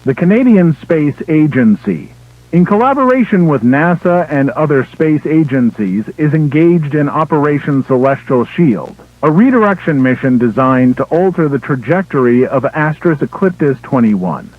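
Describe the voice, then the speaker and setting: A man playing an official emergency broadcast announcer, reading a scripted alert in a formal, measured, impersonal way through a radio filter. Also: fairly high pitch, sing-song. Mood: grave, urgent warning. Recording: tinny, band-limited emergency radio broadcast.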